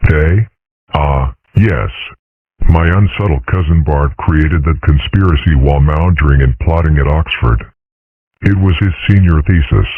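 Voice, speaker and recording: A man's voice: a bass voice, measured pace, breathy edge, dry recording, heavily acted. A man voicing an urbane, composed, dryly scheming cartoon father, speaking with formal understatement in a comic strip dub. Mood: wry. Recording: muffled phone-like audio.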